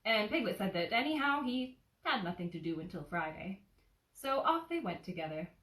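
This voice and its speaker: A woman with a lively, swinging pitch presents and reads a children's bedtime story. Her style is informal storytelling, heard in an echoey indoor room a little way from the microphone. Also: moderate pace, far from the mic. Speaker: bookseller reading a bedtime story. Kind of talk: casual introduction and storybook narration. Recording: roomy, a little off the mic.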